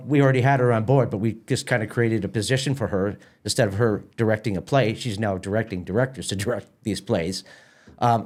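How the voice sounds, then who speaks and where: A man's voice: medium pitch, a little mellow. A middle-aged man with a Canadian accent, giving a casual interview as a theatre company president, often repeating words as he explains.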